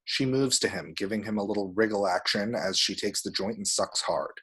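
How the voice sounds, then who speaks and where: A man's voice: on the low side, fairly quick, fairly bright tone, slightly rough, poor audio. A man reading screenplay action descriptions as scripted narration at a table read.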